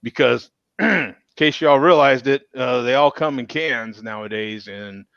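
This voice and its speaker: A man's voice: mid-range voice, unhurried, gravelly, fairly bright tone, no room sound, dull audio. A man hosting a casual chat show in a folksy manner, often repeating himself as he talks. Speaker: show host.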